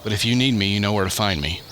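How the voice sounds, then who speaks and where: A man's voice: lowish voice, fast, fairly bright tone, natural voice. A man acting in a radio drama as a genial, self-effacing astrophysicist with a polite, affable manner. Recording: noisy radio play recording.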